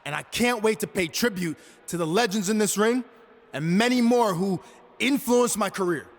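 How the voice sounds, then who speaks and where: An actor playing an earnest, defiant young pro wrestler giving a promo speech in a video game cutscene. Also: male voice, high-pitched, normal speed. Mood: proud, showy. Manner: addresses a crowd in announcement style.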